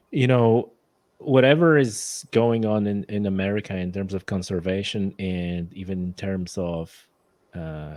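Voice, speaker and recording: Polish guy, accented english, deep low voice, dry sound, keeps restarting sentences. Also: a little mellow, no room sound. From Poland. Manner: hesitant, restarts phrases, non-native grammar. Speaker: guest. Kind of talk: casual conversation.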